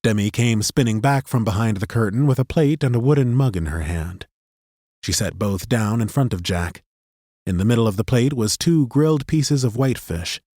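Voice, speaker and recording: A man narrating a fantasy audiobook in a steady, measured storytelling manner on a studio microphone. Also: medium pitch, dry recording.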